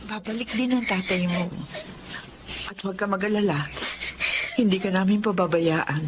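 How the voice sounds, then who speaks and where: A woman's voice: medium pitch, normal speed, very noisy, close to an everyday voice. A woman acting a caring, earnest middle-aged wife in a Filipino radio drama, with a Filipino accent. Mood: reassuring. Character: caring, earnest childless wife adopting a girl.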